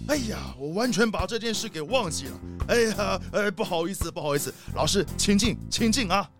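A man's voice: sits high, animated, brisk, music in the background. A man playing a vain, impatient rich leopard in a children's audio drama, self-important and dismissive. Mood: flustered, impatient. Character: vain, impatient, rich male leopard big shot, a father.

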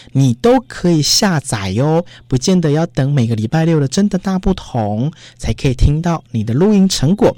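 A radio host chatting directly to listeners in an announcing style, ending many phrases with soft particles. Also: medium pitch, no room sound, clean studio sound. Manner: frequent sentence-final particles, addresses listeners directly.

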